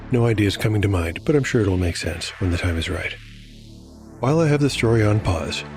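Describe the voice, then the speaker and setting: A man narrating a scripted dark fantasy audio drama as solo game master, measured and deliberate. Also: fairly low pitch.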